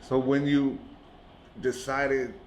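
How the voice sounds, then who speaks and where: A man's voice: mid-range voice, little pitch movement, slow, reverberant. A man hosting a podcast, asking loose questions and joking, with frequent short backchannels. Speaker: podcast host. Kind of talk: casual interview chat. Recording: clear background noise.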